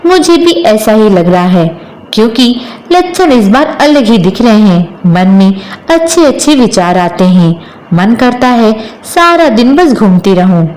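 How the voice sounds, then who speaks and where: A meek village wife from a moral storybook, performed by a woman storyteller. Her voice is high. She speaks earnestly and plainly, with quiet perceptiveness. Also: sing-song, measured pace, poor audio. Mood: hopeful.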